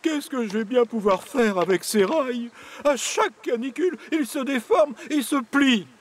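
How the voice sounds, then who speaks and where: High, blustery cartoon railway boss. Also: male voice, lively intonation, moderate pace. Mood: exasperated. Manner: blustery, sighing, theatrical. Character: grumpy, fussy, exasperated railway boss, grown man. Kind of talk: French cartoon dubbing.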